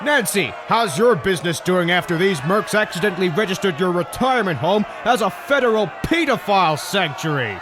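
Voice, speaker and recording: Man as bombastic cartoon mayor, high, very animated delivery, dim and folksy. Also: fairly quick, few pauses, shouting, crowd noise. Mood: indignant, rabble-rousing. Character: blustering dim small-town mayor, folksy showman. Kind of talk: comic comic-book dub.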